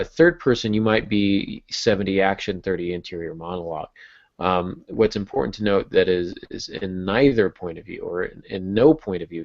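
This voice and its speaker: A man co-hosting a writing podcast, explaining things in an informal, conversational way. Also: deep voice. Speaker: podcast co-host. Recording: roomy, slightly dull podcast recording.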